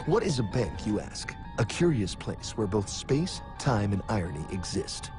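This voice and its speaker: A wry, pompous mystery-show narrator in a cartoon. His voice is on the low side but moves up and down with dramatic, smug emphasis. Music runs clearly behind it. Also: very animated, normal speed. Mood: solemn, pompous.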